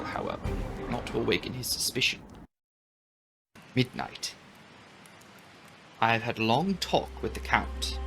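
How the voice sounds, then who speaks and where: A man giving a dramatic audiobook reading of a classic novel, with expressive, deliberate, theatrical phrasing. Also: medium pitch, creaky. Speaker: audiobook narrator and channel host.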